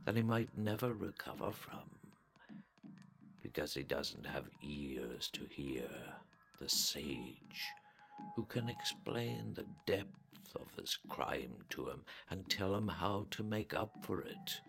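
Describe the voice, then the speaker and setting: Very deep, slow-reading male narrator. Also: gravelly, fairly bright tone. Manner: deliberate, broken phrasing with long pauses. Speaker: narrator reading a translated story. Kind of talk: slow, solemn literary story reading.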